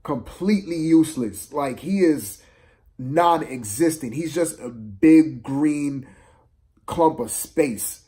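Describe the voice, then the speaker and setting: Slow-paced, higher-voiced man ranting casually. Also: animated, mellow. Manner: exasperated, slangy, repeats himself for emphasis. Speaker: film reviewer. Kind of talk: casual unscripted movie review rant.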